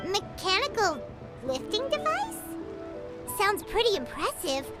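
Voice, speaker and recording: Tiny girlish game creature, very high and squeaky, bouncy lively lilt, chirpy and curious. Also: measured pace, loud music behind the voice, heavily acted. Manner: refers to herself in the third person, chirpy and curious. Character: tiny floating girlish companion creature, chatty and excitable. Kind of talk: video game voice acting.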